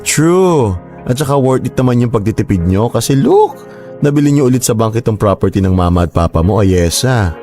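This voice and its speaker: A man's voice: medium pitch, sing-song, brisk, mellow, faint music behind, lightly acted. A man playing a kindly, cautious older uncle in a Tagalog radio drama, with a Filipino accent. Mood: approving.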